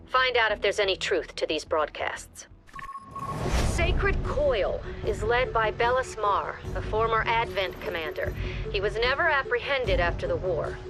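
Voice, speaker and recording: Composed, authoritative squad director. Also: female voice, medium pitch, big pitch swings, music in the background. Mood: grave briefing. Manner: measured, firm. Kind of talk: scripted mission briefing.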